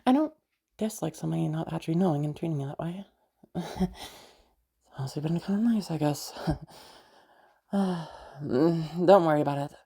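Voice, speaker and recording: A woman playing a friendly young woman with a hidden obsessive side, in roleplay audio addressed to the listener, with a husky, hesitant delivery. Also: low voice, normal speed, long pauses, warm tone, heavily acted. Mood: awkward, coy.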